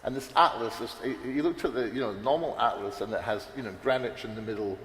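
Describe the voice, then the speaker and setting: A man's voice: mid-range voice, fast, fairly bright tone. A man on a conference panel, talking in a rambling, unscripted way with many restarts and fillers. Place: large echoey hall. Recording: roomy hall reverb, faint background noise.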